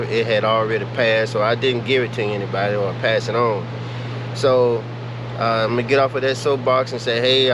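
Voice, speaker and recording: A man vlogging casually about his vehicle project, talking in a rambling way with lots of filler sounds and restarts. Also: mid-range voice. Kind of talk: casual talk to camera. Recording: noisy, with steady hum behind.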